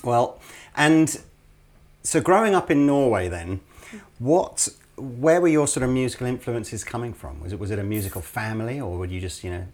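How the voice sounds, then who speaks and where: A man's voice: medium pitch, lively intonation, moderate pace. A man hosting a casual podcast interview, asking long, rambling questions full of fillers. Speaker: podcast host.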